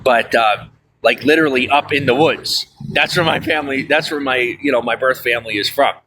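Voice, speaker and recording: A man hosting a live stream, rambling through viewer comments with a chatty, folksy manner full of fillers and side remarks. His voice is bright and forceful, with a touch of faint background noise behind it. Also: medium pitch, fairly quick, rough recording. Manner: folksy, often says "I'll tell you what". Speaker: livestreamer. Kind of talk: casual livestream chat reading viewer comments.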